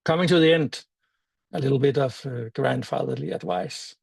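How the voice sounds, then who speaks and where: An older man with a Danish accent, a native Danish speaker, giving a conference talk in a thoughtful, halting way with many fillers and restarts. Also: medium pitch, creaky, darkish tone, slightly rough, no room sound, clean studio sound. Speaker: meetup speaker.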